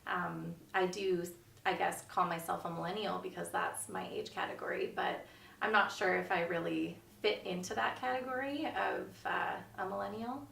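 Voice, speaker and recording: Gravelly-voiced woman in her twenties. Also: on the low side, moderate pace, a bit bright, echoey room, distant mic, a little hiss or hum. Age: 20s. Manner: thoughtful, hesitant with fillers. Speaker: interviewee. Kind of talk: church video interview.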